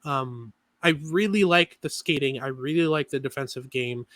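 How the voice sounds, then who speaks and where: A man co-hosting a sports podcast, talking through analysis in a quick, filler-heavy way with many restarts. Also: mid-range voice, a bit bright, dry recording, clean studio sound. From Canada. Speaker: podcast co-host.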